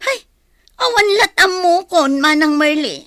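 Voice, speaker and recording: A woman acts as a worried Ilocano mother in a village radio drama. She sounds fretful, with a fairly high, very animated pitch and a dry recording. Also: unhurried, no room sound, heavily acted. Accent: Ilocano Filipino. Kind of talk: Filipino radio drama acting.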